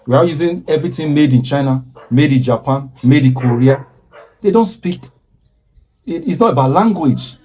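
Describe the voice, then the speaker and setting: A man's voice: fairly high pitch, expressive, moderate pace, dark tone, slight background noise. A man giving impassioned social commentary in an earnest, preaching way, often stopping to repeat himself.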